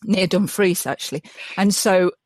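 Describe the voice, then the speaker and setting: A woman's voice: on the low side, moderate pace, a little mellow, no room sound. Enthusiastic woman, dry close sound. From Yorkshire, England. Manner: enthusiastic, restarts often, many ums. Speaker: guest, mud mason. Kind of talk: casual interview chat.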